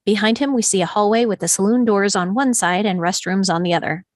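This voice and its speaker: A woman's voice: mid-range voice, fairly quick, dry recording. A woman reading stage directions as scripted narration for a screenplay table read, close to the microphone.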